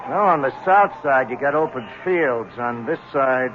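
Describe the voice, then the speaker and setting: A man's voice: mid-range voice, lively intonation, measured pace, lightly acted. Actor doing a smart-mouth patrolman, bouncy voice, tinny and hissy old radio. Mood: teasing. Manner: wisecracking, sing-song teasing. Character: wisecracking male patrolman near shift end. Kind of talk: 1970s radio drama acting.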